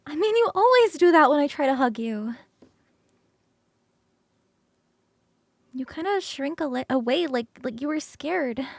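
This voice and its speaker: A woman voicing a young succubus roommate in an ASMR roleplay, casual, animated, chatty and affectionate. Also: sits high, fairly quick, creaky, no room sound, voice pushed up. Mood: concerned.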